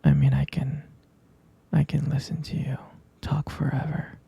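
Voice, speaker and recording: A man playing a devoted, smitten boyfriend in an intimate ASMR roleplay, speaking in a breathy, husky whisper, hesitant and tenderly repeating his phrases. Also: fairly high pitch, sing-song, a little slow, mellow, full character voice. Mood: tender, wistful.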